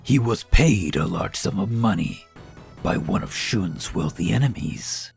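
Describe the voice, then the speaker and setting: A man with a gravelly voice reading a dramatic scripted narration. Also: sits low, lively intonation, measured pace, a little mellow, soft background music.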